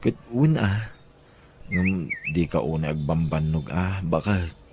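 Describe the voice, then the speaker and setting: A man's voice: deep voice, lively intonation, slow, a little mellow. A man playing a concerned, helpful rural Filipino in an audio drama, with an Ilocano accent. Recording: faint background noise.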